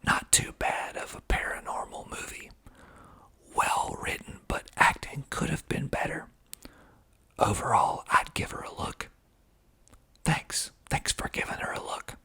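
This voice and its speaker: A man whispers in a slow, deliberate ASMR style, reading and commenting aloud. His voice is very husky and breathy, with a crackly fry running through it. The delivery stays hushed and close to breath throughout. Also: fairly high pitch, wildly swooping pitch. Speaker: filmmaker reading reviews of his movie.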